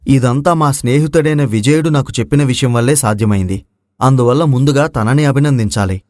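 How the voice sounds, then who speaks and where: A brave, quick-witted young storybook prince, voiced by a man, speaks with formal confidence. The voice is mid-pitched and recorded very dry. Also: a little mellow, no echo, close to an everyday voice. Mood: respectful. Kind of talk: Telugu moral story voice acting.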